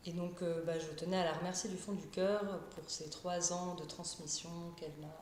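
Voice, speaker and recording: A woman's voice: fairly low pitch, level tone, normal speed, darkish tone, roomy sound, slight background noise. A woman from Paris, an art therapist, answering questions in a live interview, shy and hesitant, with many fillers and restarts. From Paris, France. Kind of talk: live-stream interview, casual.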